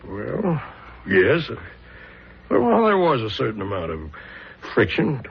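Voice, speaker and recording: A man's voice: mid-range voice, huge pitch swings, slow pace, gravelly, slightly rough, heavily acted. An actor playing a troubled, defensive traveling salesman in a 1970s radio drama, protesting hesitantly and emphatically. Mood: defensive, evasive. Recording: dull, lo-fi radio drama recording.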